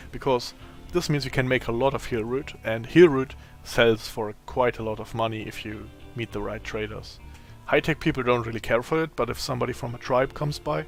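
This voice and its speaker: A man with a raspy, tired voice giving casual gameplay commentary, thinking aloud as he plays. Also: mid-range voice. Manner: slow, thinking aloud while playing. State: voice strained from a long day of talking.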